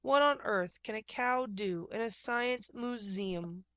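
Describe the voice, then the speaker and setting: A woman's voice: fairly high pitch, slow pace, a bit bright, dry recording, highs cut off. A woman voicing a stubborn, dramatic female cow from a picture book, with an emphatic, complaining manner. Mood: wailing. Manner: emphatic, wailing and grumbling.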